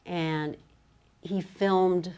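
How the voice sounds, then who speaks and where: A woman with a low voice leads a literary conversation as a fellow writer. She muses aloud in a halting, informal way, often breaking off for small asides. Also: steady tone, warm tone, smooth.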